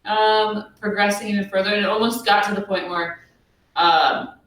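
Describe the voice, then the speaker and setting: A woman with a deep voice co-hosting a podcast, musing in a casual, hesitant way with many ums. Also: fairly even intonation, normal speed, a bit bright, heavy reverb, recorded from a distance, rough recording. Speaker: podcast co-host. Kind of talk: casual recap chat.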